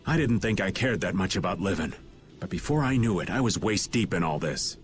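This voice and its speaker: A man's voice: low-pitched, animated, a little fast, soft background music. An actor playing a scruffy joking middle-aged man with a world-weary, teasing manner in a video game cutscene. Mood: resigned. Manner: glib and teasing, turning weary.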